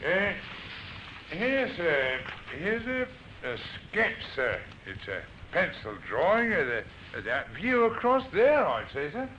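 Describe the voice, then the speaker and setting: An actor playing a hesitant, respectful London police sergeant in an old radio drama. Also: male voice, high-pitched, huge pitch swings, takes it slowly, sharp tone, full character voice. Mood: deferential. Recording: muffled, noisy old radio broadcast.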